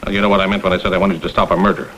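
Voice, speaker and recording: A man's voice: low voice, flat delivery, racing, fairly bright tone. An actor playing a middle-aged, self-made father in an old crime drama, fiercely protective, blunt and threatening. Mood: menacing. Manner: blunt, emphatic, tough-talking. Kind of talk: vintage American television crime drama acting. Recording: faintly noisy vintage film soundtrack.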